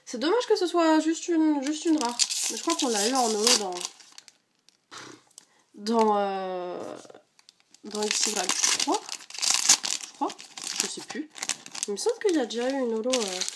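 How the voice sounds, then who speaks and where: A woman's voice: a little high, very noisy. Chatty woman with a head cold. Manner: chatty, many fillers, rattles off card names. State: stuffy from a cold. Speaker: card collecting vlogger. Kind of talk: casual unboxing chat.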